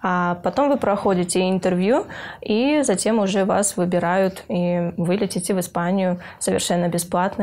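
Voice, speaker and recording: Young female student from Kyrgyzstan, earnest and hesitant, medium pitch, interview read. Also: darkish tone. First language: Russian. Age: young adult. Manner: earnest, with hesitant filler sounds and restarts. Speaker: university student. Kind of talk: interview for a university news feature.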